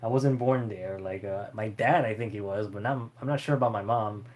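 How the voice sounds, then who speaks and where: A man's voice: on the low side, a little fast, a little mellow. A man chatting casually and unscripted as a streamer answering viewer questions, in a rambling, hesitant way.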